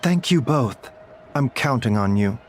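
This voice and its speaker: Casting: male actor as courteous, earnest game doctor, mid-range voice with lively intonation, formal delivery. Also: medium pitch, unhurried, a little hiss or hum, close to an everyday voice. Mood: grateful. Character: polite, earnest male physician with grave concern. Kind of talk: video game voice acting.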